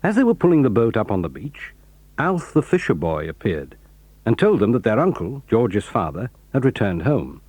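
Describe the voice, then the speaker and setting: A British man narrating a children's audio drama. His voice is warm and mellow with a husky edge, and his pitch rises and falls expressively. The recording is close and dry. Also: very animated, normal speed, no room sound. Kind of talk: scripted storybook narration.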